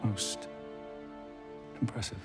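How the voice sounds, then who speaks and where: An actor playing an urbane, calm tech creator with veiled menace in a video game, speaking in a measured, formal, probing way. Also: male voice, loud music behind the voice, close to an everyday voice. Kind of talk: video game cutscene acting.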